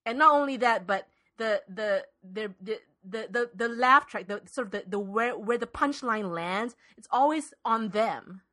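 A woman's voice: on the high side, lively intonation, a little slow, few pauses, bright, forceful tone. A woman co-hosting a podcast, arguing casually and emphatically, often repeating words for stress. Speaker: podcast co-host. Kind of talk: casual debate.

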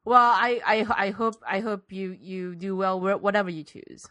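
A woman acting as interviewer in an improvised comedy chat. Her voice is bright and mid-pitched in a dry recording, and she plays it straight, haltingly repeating herself. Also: steady tone, no echo. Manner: hesitant, repeating words, playing it straight.

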